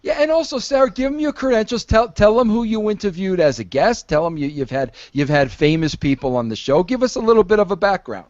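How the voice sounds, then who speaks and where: A man's voice: sits high, lively intonation, fast talker, few pauses, darkish tone, dry recording, lo-fi sound. A man in his fifties hosting a podcast, coaxing and pushy, stumbling over his words and repeating himself. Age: 50s. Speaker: podcast host. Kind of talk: casual podcast chat.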